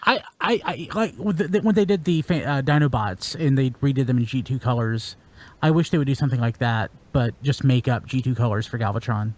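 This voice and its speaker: Mid-pitched man chatting casually. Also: brisk, a little mellow. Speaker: podcast panelist. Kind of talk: casual chat.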